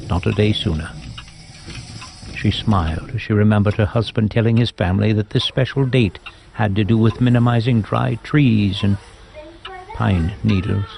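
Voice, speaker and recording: A man narrating a scripted audio drama as a storyteller, in a measured, reflective manner. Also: lowish voice, creaky, darkish tone, slight background noise. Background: music.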